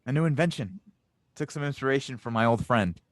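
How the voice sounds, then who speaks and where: A man's voice: mid-range voice, lively intonation, a little fast, no echo, voice pushed up, natural voice. A man playing an earnest, teasing inventor in a fan audio drama table read. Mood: playful, upbeat. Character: warm, teasing male inventor, reunited with an old friend.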